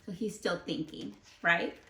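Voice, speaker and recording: A woman teacher giving an interactive read-aloud lesson for young children, expressive, often prompting with questions and repeating key phrases. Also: lowish voice, measured pace, gravelly. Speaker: elementary school teacher. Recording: echoey room.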